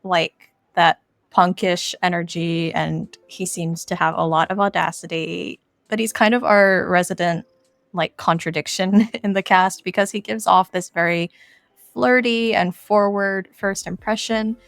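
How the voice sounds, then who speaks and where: A woman's voice: fairly low pitch, fairly even intonation, a little slow, creaky, no echo. A woman giving casual, informal explanations in a podcast interview as a game creator. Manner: informal, explanatory, peppered with "like" and "kind of".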